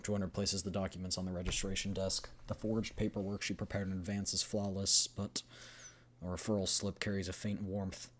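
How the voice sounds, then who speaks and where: A man's voice: low-pitched, little pitch movement, brisk, a little hiss or hum. A man streaming a game, reading the story aloud and chatting casually as he thinks aloud. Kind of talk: game readthrough with casual commentary.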